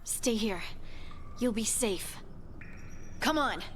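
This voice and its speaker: A woman's voice: fairly high pitch, animated, slow pace, a bit bright, slight background noise. Determined woman dwarf scout. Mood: reassuring, determined. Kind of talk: video game voice acting.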